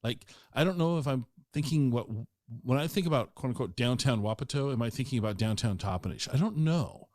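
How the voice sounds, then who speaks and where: A middle-aged man hosting a podcast interview, chatty and teasing with animated asides. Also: medium pitch, a little fast, hardly any pauses, no echo, clean studio sound. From Washington State. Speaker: podcast host. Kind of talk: casual interview chat.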